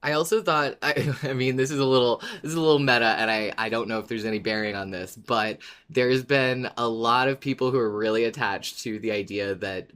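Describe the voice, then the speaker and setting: Man co-hosting a podcast, medium-pitched voice. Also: brisk, few pauses, fairly bright tone. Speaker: podcast co-host. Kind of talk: casual podcast chat and episode recap.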